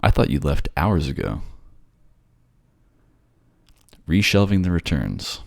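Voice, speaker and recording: Man acting as sardonic male ghost, extremely low pitch, dry close-up sound, snarky, casual, ASMR roleplay. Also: vocal fry, darkish tone, dry recording, heavily acted. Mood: irritated, snarky. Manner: snarky, conversational, teasing. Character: sardonic bored male ghost haunting a library. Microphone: close, dry microphone.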